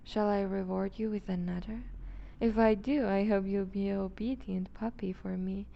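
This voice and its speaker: A woman's voice: medium pitch, flat delivery, normal speed, gravelly, lo-fi sound, natural voice. A woman playing a seductive, dominant vampire duchess in an intimate ASMR roleplay, teasing and condescending, often slipping toward a whisper. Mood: coaxing, seductive.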